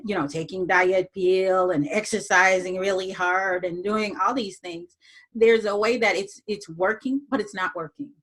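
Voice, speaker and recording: A woman from Jamaica speaks as a podcast guest in a mid-range voice. She talks reflectively, pausing on fillers and restarting her sentences. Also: mid-range voice, a bit bright. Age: adult, moved abroad as young teen. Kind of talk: casual interview talk.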